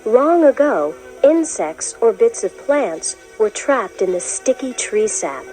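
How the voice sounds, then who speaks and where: A woman reading a children's science book as scripted narration, in a clear, expressive storytelling manner. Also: on the high side, slow, faint music behind. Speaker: narrator of a children's educational read-along.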